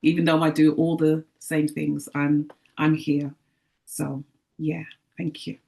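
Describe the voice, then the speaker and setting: A woman with a low-pitched voice speaks on a video call. She is effusive and rambling, and she keeps circling back to what she means. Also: flat delivery, slow pace, vocal fry, mellow. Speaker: webinar participant and colleague.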